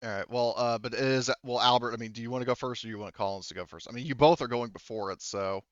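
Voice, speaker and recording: A man's voice: mid-range voice, fast, dry recording, lo-fi sound. A man casually refereeing a tabletop game as game master, thinking aloud in an informal way.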